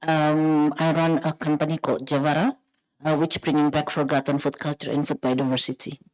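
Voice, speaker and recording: A woman with an Indonesian accent and a slightly low voice. She speaks as a founder giving a presentation on a forum panel. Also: flat delivery, brisk, darkish tone, poor audio.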